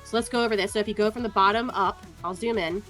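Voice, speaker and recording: A woman's voice: medium pitch, steady tone, fast, fairly bright tone, soft background music. A woman giving casual, sarcastic commentary as she walks through court records, scornful and free with swearing. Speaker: online commentator.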